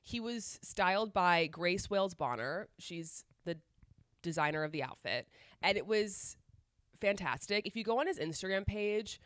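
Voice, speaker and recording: A woman's voice: mid-range voice, expressive, moderate pace, a bit bright, dry recording, studio quality. Congested nasal woman hosting a podcast. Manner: chatty, rambling with restarts. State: congested from a cold. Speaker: podcast co-host. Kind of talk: casual chat.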